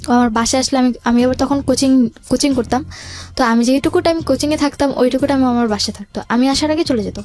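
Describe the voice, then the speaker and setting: A woman's voice: high-pitched, fast, a little mellow. A woman with a Bangladeshi accent tells her own life story in a radio interview, quoting conversations and mixing in English words, in a voice altered to hide her identity. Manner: quotes remembered conversations, mixes in English words.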